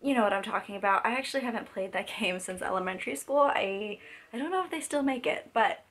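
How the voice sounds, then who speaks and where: Hoarse, chatty young woman vlogger. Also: medium pitch, animated, quick pace, fairly bright tone. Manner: chatty, rambling, many fillers and restarts. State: hoarse from a cold. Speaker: beauty vlogger. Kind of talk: casual makeup tutorial chat. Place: home.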